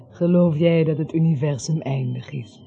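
A woman's voice: deep voice, gravelly, warm tone, exaggerated voice. An actress playing a dreamy yet streetwise woman in a radio play, with a musing manner of speaking. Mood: wondering. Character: dreamy, streetwise woman philosophising, frankly businesslike. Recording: muffled old radio play, music behind.

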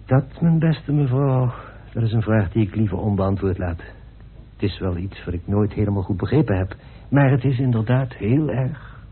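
A man's voice: lowish voice, expressive, normal speed, breathy edge. An actor playing a self-assured, talkative, persuasive man in a radio drama. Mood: measured, polite. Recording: muffled, narrow-band old radio recording.

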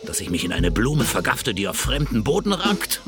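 A man's voice: mid-range voice, very animated, normal speed, lightly acted. A man playing a lustful, lovesick villain servant in a radio opera, declaiming and savouring each phrase. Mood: smitten, aggrieved. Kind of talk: theatrical radio opera dialogue. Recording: loud music under the voice.

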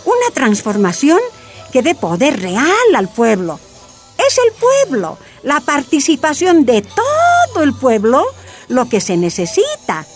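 A Bolivian woman who leads miners' wives, frank and resolute. Her voice sits high and moves freely, yet she takes her time over words. It is a radio drama with soft music underneath. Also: wildly swooping pitch, slow, full character voice. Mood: firm, earnest.

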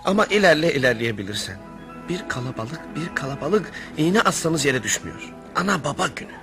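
Earnest, exasperated man, higher-pitched voice. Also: animated, brisk, music in the background. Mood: narrating, exasperated. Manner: pleading, polite, insistent. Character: earnest, exasperated male clerk pleading for his friend. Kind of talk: radio theatre acting and first-person narration.

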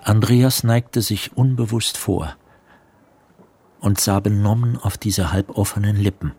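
A man narrating a literary radio play in a measured, precise storytelling manner. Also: fairly low pitch, darkish tone, slight background noise. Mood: composed. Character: composed literary male narrator. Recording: music under the narration.

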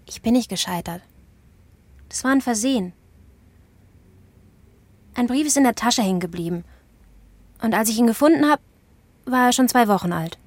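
Defensive young postwoman, slightly high, insistent, stubborn, dry radio drama recording. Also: expressive, normal speed, long pauses, no echo. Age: young adult.

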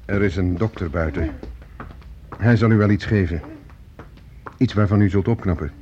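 Low-voiced police chief man acting. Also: lightly acted. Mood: calm, reassuring. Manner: authoritative, measured. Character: grave senior police officer, steady and in charge. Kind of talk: radio drama acting. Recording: muffled, noisy old radio play recording.

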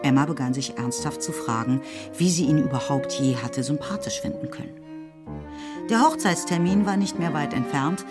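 A woman narrating a radio play in a measured, lightly ironic manner. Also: low voice, animated. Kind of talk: scripted literary narration. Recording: narration over music.

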